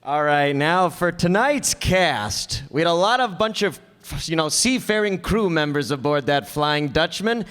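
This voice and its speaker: A man's voice: fairly high pitch, expressive, unhurried, fairly bright tone, clear, resonant tone. A man hosting a live stage show, announcing casually and effusively with some stumbles. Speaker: announcer, host of radio players troupe. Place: stage before live audience. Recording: live room, crowd, faint noise.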